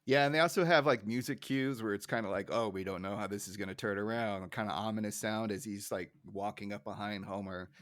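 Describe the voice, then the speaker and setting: A man's voice: fairly low pitch, steady tone, a little fast, few pauses, a bit bright. A man co-hosting a podcast in casual chat, talkative and self-deprecating as he recaps a cartoon. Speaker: podcast co-host.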